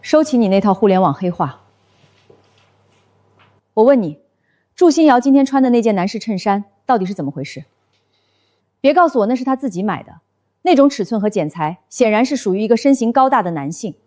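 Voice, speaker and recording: An actress playing a stern, officious manager in an animated short drama, formal and accusatory like a bureaucrat. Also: female voice, fairly high pitch, brisk, long pauses, no echo, lightly acted. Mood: suspicious, accusing.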